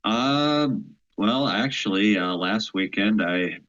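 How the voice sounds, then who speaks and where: A man's voice: lowish voice, animated, slow pace, a bit bright, muffled recording. A man co-hosting a podcast, talking hesitantly with many fillers. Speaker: co-host. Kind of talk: casual chat.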